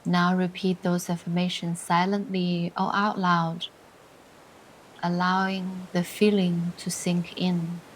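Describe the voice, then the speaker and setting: A woman leading a scripted guided meditation in a soothing, deliberate way, pausing between short phrases. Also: on the low side, little pitch movement, slight background noise, low-quality recording. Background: music.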